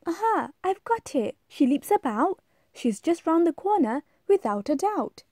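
A woman's voice: very high voice, big pitch swings, measured pace, a little mellow, no room sound, studio quality, voice pushed up, exaggerated voice. A woman voicing a helpful, confident butterfly in a rhyming storybook, eager and encouraging. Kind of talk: rhyming storybook read-aloud.

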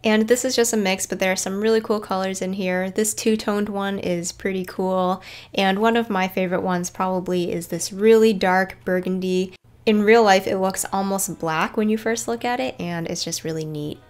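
A woman's voice: medium pitch, steady tone, normal speed, darkish tone. A woman narrating a casual garden tour in a chatty, informal way. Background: background music.

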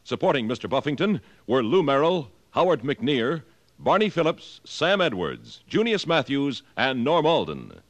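A man's voice: medium pitch, huge pitch swings, moderate pace. A man announcing credits formally in the manner of a 1950s radio announcer. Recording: vintage network radio recording.

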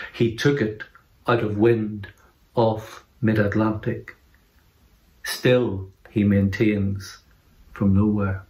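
A middle-aged man reciting poetry in a measured, solemn manner. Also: lowish voice, a little mellow. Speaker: poetry reader. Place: indoor room. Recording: roomy, echoing indoor sound.

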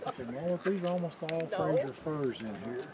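A man's voice: fairly high pitch, expressive, normal speed, a loud crowd. A middle-aged man, a park ranger, explaining things patiently to a child on a mountain overlook. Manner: informative, patient.

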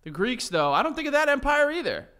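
A man in his thirties co-hosting a casual, comedic podcast with playful, animated banter, close on a studio mic. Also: very high-pitched, fast. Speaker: podcast co-host. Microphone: close desk-mounted studio microphone.

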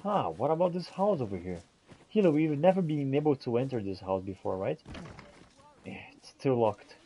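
A man's voice: medium pitch, sing-song, normal speed, dark tone, slight background noise, rough recording. A man giving gameplay commentary in character, talking theatrically to the game's characters. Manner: theatrical, talks to game characters. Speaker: player roleplaying a game character.

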